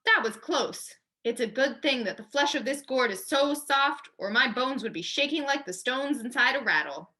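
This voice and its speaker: A woman voicing a plucky, crafty old grandma in a storybook, with a thin, high, sing-song voice. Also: lively intonation, moderate pace, hardly any pauses, sharp tone. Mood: relieved.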